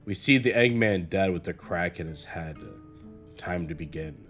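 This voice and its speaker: A man narrating a scripted video essay in a measured, earnest way. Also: sits low, a bit bright. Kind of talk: scripted analytical narration of a horror series. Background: guitar music.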